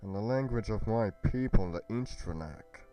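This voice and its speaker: A man playing a solemn, noble young warrior in a fantasy roleplay, speaking deliberately and portentously with halting pauses. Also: fairly low pitch, lively intonation, warm tone, faint music behind, lightly acted. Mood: proud, resolute. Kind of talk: dramatic roleplay monologue.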